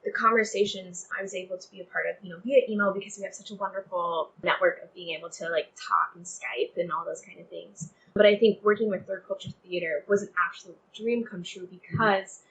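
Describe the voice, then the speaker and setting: A woman's voice: lowish voice, fairly even intonation, brisk, a bit bright, rough recording. A young woman from Texas speaking earnestly and conversationally as an interview guest, often saying "like" and "you know". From Texas, United States.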